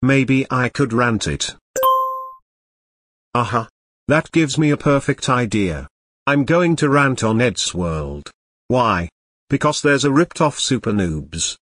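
A man voices a bratty cartoon boy who rants with big swoops of pitch. The sound is dry and close, and the delivery stays blunt and insulting. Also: lively intonation, slow, pauses often, fairly bright tone, no room sound. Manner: whiny, insulting ranting. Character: bratty young boy troublemaker. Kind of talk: cartoon grounded-video dialogue.

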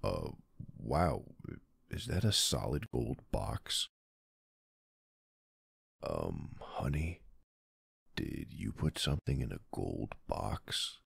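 A man in an ASMR roleplay playing a doting mafia boss husband and gentle father, speaking tenderly and soothingly with soft pauses. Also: rumbling low, sing-song, vocal fry, slightly rough, no echo, clean studio sound, full character voice. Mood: surprised, gentle. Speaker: roleplay audio performer.

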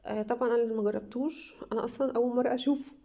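A young woman with an Egyptian Arabic accent, slightly hoarse from a cold, chatting enthusiastically to viewers as she reviews beauty products at home. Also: a little high, steady tone, very fast, warm tone. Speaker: beauty vlogger. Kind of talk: casual product haul review.

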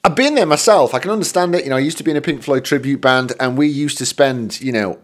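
A man's voice: medium pitch, quick pace. A middle-aged man from the UK giving a casual guitar lesson in a small home studio, chatty and self-deprecating, with plenty of fillers. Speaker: guitar teacher. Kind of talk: casual spoken tutorial. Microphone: studio condenser mic in front.

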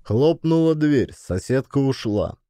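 A man's voice: mid-range voice, animated, measured pace, mellow, faint music behind. A man narrating a comic audio play in a dramatic scripted storytelling style. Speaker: narrator and actor of audio plays.

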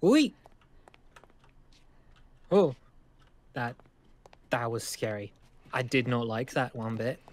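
A man's voice: mid-range voice, expressive, slow pace, pauses often. A man playing a nervous, awkward but polite survivor in a Minecraft roleplay, hesitant and often stammering over repeated words. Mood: uneasy.

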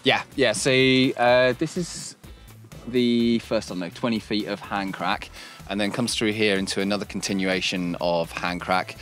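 A man's voice: fairly low pitch, fairly even intonation, normal speed, a bit bright, soft background music. A man giving a casual, enthusiastic walkthrough of his homemade climbing training cellar. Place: cramped wood-lined cellar indoors.

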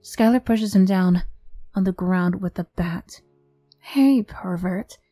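A woman narrates an ASMR audio story in a very mellow, soft-edged voice. She reads scripted second-person narration. The recording is close and dry, with music underneath. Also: lowish voice, steady tone, unhurried, dry recording.

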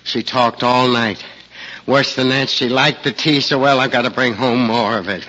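An actor playing a lazy, meek, henpecked husband with a sly good nature, in 1940s radio style. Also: male voice, mid-range voice, steady tone, normal speed, bright, forceful tone. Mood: weary, put-upon. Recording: muffled, noisy vintage radio recording.

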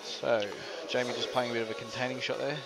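A man's voice: medium pitch, level tone, fast talker, low-quality recording. A man doing live commentary while nasal and tired from a cold. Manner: tired, a bit lightheaded.

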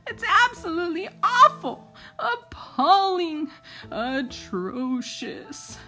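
Woman voicing a shrill, frightened storybook crayon. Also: big pitch swings, slow pace, pushed and bright, faint music behind, exaggerated voice. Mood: horrified. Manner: shrill, melodramatic exclaiming. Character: frightened, easily horrified crayon townspeople, melodramatic and fretful.

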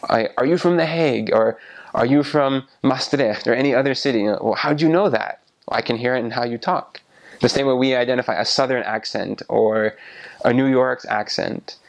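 A man in his twenties talking casually to the camera about his missionary experience, relaxed and often restarting his sentences, recorded on a lapel mic in a small room. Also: mid-range voice, moderate pace, creaky. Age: 20s. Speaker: returned missionary. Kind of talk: casual explanatory talk to camera. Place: small indoor room by a window.

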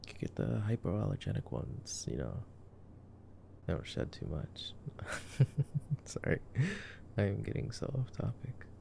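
A man playing a nerdy, affectionate boyfriend in an ASMR sleep-aid roleplay, soft-spoken, teasing and rambling as he comments on a movie. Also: lowish voice, very animated, a little slow, vocal fry, long pauses, a little hiss or hum, exaggerated voice. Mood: playful, sheepish.

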